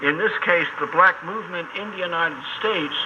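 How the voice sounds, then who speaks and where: An older man reading a formal scripted academic lecture in measured, short phrases, heard over shortwave radio. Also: sits high, highs cut off. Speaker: academic speaker. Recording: noisy shortwave radio broadcast.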